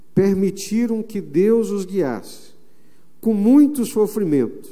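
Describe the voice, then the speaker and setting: Man, Brazilian pastor, sermon, mellow tone, echoing sanctuary. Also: on the high side. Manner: emphatic preaching with slow, drawn-out phrases. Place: church sanctuary. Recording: long reverb of a church hall.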